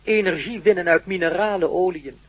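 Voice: medium pitch, husky, dull audio, lightly acted. An actor playing a grave alien being in a radio play, speaking in a formal, precise way. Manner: formal, measured, matter-of-fact explaining. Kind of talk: Dutch radio play acting.

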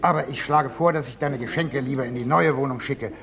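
An actor playing a kindly, hearty department store Santa Claus in a radio drama, reassuring and gentle with children. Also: male voice, medium pitch, a little fast, close to an everyday voice. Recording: busy crowd noise behind the voice.

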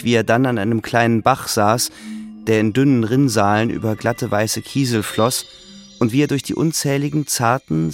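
A man narrating a literary radio drama in a measured, reflective way. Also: mid-range voice.

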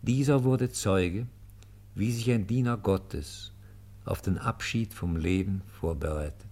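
Solemn male narrator for radio drama, lowish voice, measured delivery. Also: a little mellow. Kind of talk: scripted radio play narration.

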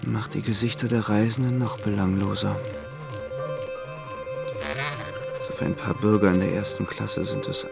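A man's voice: fairly low pitch, vocal fry. An actor playing a dreamy, infatuated young man who recalls his journey in literary first-person radio play narration. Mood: languid, bored.

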